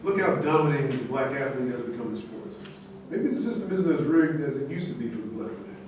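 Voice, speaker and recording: A man's voice: mid-range voice, expressive, a little fast, a little mellow, natural voice. A man in a staged reading, playing a self-assured, contrary optimist. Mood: defiant. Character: self-assured, contrary optimist who insists he's a winner. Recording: echoey room from far off, faint noise.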